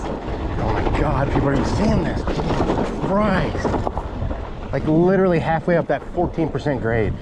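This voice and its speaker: An excitable man rambles to his viewers while riding an ebike up dirt hills, filling his talk with casual swearing. His voice sits in an ordinary middle pitch. It comes from a chest-mounted action camera, half buried under heavy wind rush. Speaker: ebike vlogger. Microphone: GoPro chest mount camera. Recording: loud wind-buffeted action camera audio. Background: loud wind noise on the mic.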